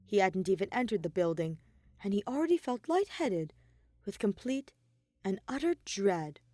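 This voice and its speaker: Expressive woman narrating a story. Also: medium pitch, moderate pace, dry recording. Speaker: audio fiction narrator.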